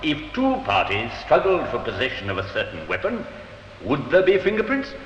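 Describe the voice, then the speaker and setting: An actor playing an insistent defense barrister in a 1950s radio drama, probing and theatrical. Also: male voice, mid-range voice, wildly swooping pitch, normal speed, fairly bright tone. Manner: probing, theatrical cross-examination. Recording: echoey, muffled, faintly noisy old radio.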